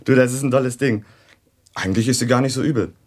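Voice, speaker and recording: An actor plays a wry young son in a radio drama. His voice is mid-pitched and a little husky, and his delivery is laconic, slangy and casually amused. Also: quick pace, a little mellow, natural voice. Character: wry, casual grown son of a quarrelling family. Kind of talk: German radio drama acting.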